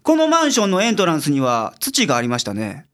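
An actor playing a blunt, gruff male detective in a radio drama, brusque and authoritative, with a Kansai accent. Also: a little high, huge pitch swings, quick pace. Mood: sharp, deducing. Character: gruff, blunt male private detective, sharp-witted boss.

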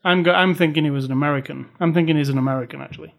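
A young British man talking films with a co-host, wry and opinionated as he picks at bad accents. His voice sits a little high for a man, and his complaints come out animated and emphatic. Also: racing, creaky. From Britain. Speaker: podcast co-host. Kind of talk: casual film review chat.

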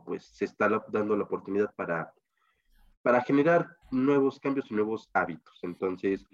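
A man with a Mexican accent talks casually as a co-host. His voice sits a little low, and the recording is slightly muffled and lo-fi. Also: darkish tone. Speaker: talk show co-host. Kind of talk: casual discussion.

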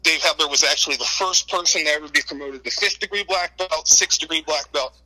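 Male interview guest, middle-aged, very bright forward tone, conversational and wordy storyteller. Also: on the high side, fairly quick, faint music behind, rough recording. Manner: wordy, informal, repeats words and says "you know" often. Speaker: interview guest, book co-author. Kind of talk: conversational interview answers, telling anecdotes.

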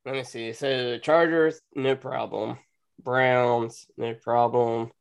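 Slow-talking laid-back man. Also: mid-range voice, steady tone, vocal fry, smooth. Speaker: podcast co-host. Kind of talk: casual sports betting chat.

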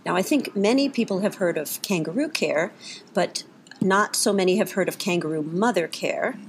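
A woman's voice: on the low side, expressive, moderate pace. Polite woman interviewer, faint background noise. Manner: polite, hesitant phrasing. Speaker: guest interviewer.

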